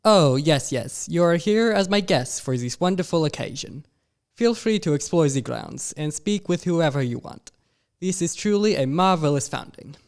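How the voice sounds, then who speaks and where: A man's voice: a little high, lively intonation, normal speed. A man doing a gracious old-time founder. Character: 18th-century French school founder, gracious.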